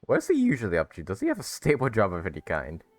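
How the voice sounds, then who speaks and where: Very low-voiced woman gaming streamer. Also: huge pitch swings, quick pace, no room sound. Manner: amused, chatty, reacts to game. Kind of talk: casual let's play commentary. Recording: game music behind.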